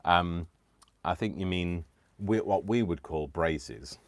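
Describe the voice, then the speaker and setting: A man's voice: sits low, slow. A British man hosting a live crafting stream, rambling casually to viewers with lots of ums, small laughs and self-deprecating asides. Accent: British English. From United Kingdom. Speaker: crafting livestream host.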